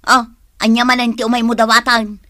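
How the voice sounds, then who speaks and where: High-pitched, haughty, boastful woman. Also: flat delivery, fairly quick, sharp tone, no room sound, studio quality. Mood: indignant, snooty. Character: haughty, boastful woman proud of her costly belongings. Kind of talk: Ilocano radio drama acting.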